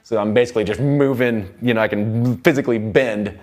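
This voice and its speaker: A young man teaching music production in a studio, explaining software in an informal, rambling way. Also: medium pitch, brisk. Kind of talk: casual software tutorial. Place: indoor recording studio.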